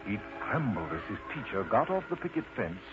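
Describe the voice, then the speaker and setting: A man narrating a children's story in an expressive, unhurried manner, addressing the listener directly. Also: fairly low pitch. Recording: muffled lo-fi recording with loud noise.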